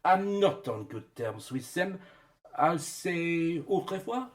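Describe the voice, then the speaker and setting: A high-voiced, excitable man in a radio play, acted by a man. He is an evasive crook and lover, defending himself with lively swings of pitch. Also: slow. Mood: guarded. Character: defensive, evasive male ex-drug dealer, quarrelling lover. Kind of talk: radio drama acting.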